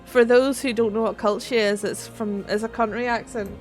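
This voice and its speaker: A woman's voice: fairly high pitch, steady tone, moderate pace, faint music behind. A woman with a rural Northern Irish accent chatting on a gaming livestream, swearing freely and laughing often as she reads chat. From Northern Ireland. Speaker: streamer.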